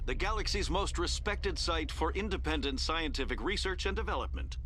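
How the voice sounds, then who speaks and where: A man's voice: a little high, fairly bright tone, a little hiss or hum. An actor playing an upbeat, official male welcome announcer in a video game, polished and scripted.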